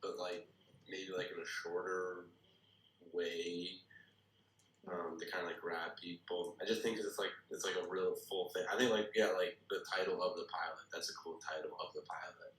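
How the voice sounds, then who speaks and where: A man's voice: low-pitched, flat delivery, moderate pace, a bit bright. A man giving casual feedback in a hesitant way, repeating himself as he searches for words. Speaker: participant giving script feedback. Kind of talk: casual chat. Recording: echoey room with faint noise.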